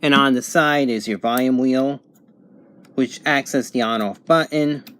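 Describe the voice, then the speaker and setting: Man talking through a halting casual review. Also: medium pitch, fairly bright tone, smooth. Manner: unscripted, halting, thinking aloud. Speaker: amateur product reviewer. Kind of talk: casual unboxing and review narration. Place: home bedroom, indoors.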